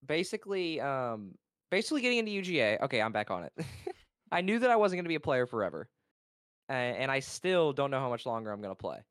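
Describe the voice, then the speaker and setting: Loud, rambling young esports caster. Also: male voice, mid-range voice, quick pace, clear, resonant tone, dry recording, studio quality. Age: young adult. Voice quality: naturally loud voice. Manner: rambling, excitable, loses his thread. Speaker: esports caster and college player. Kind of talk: casual interview talk.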